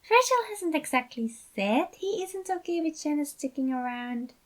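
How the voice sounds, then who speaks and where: A gentle, worried fatherly man, acted by a woman, pitched well up. He speaks hesitantly and soft-heartedly, trying to stay positive as a storybook character. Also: expressive, moderate pace. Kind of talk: acted dialogue in a fan fiction reading.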